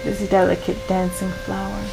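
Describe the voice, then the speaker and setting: A woman narrating in a solemn, poetic, deadpan mock-documentary style. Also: mid-range voice, normal speed, creaky, poor audio. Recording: loud music behind the voice.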